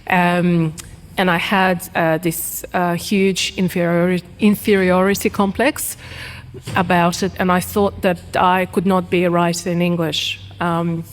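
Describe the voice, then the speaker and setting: A woman's voice: on the low side, flat delivery, measured pace, big-room sound. Reflective woman. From Ukraine. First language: Russian. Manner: reflective, thoughtful, many fillers and restarts. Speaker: guest writer. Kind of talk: live panel conversation. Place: event hall before a live audience.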